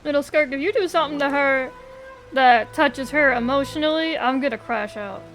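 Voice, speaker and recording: Higher-voiced woman reacting on stream. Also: normal speed, fairly bright tone, soft background music, poor audio. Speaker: game livestreamer. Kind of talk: emotional live game reaction.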